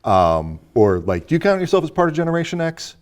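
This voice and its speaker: A man's voice: on the high side, sing-song, brisk. A man hosting a casual film discussion and posing questions to his guest.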